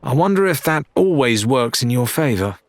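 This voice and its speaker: Guy doing a determined young sailor woman, defiant and blunt, higher voice, audiobook. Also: expressive, moderate pace, no room sound, lightly acted. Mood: pointed. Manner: plain-spoken, defiant. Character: determined young woman newcomer seeking pirate life.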